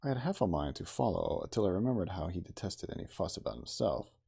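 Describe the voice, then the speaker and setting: A man with a soft, gravelly, husky voice giving a measured, expressive ASMR reading of a classic novel. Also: low voice. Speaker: audiobook-style reader.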